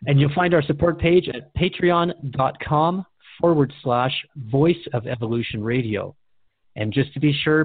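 A man's voice: mid-range voice, no echo. A man hosting a radio show in a conversational, earnest and reflective way. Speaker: radio host. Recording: dry, phone-like narrow band.

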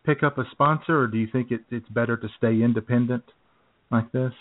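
Guy co-hosting, mid pitch, rambly questions, narrow phone-ish audio. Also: steady tone, fairly quick. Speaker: co-host. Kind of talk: casual radio interview.

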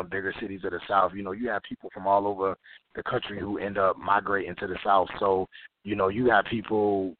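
A man from Florida speaks in a deep, level voice, easing into his answers with fillers and restarts. He is being interviewed over a phone call, and the line sounds thin and tinny. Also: no room sound. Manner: laid-back, many fillers and restarts. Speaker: interview guest, recording artist. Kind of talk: casual interview talk.

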